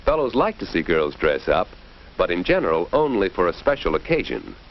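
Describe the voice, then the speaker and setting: Male narrator for 1940s instructional short, fairly low voice, instructive and questioning, muffled old film sound. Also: big pitch swings, a little fast, a little hiss or hum.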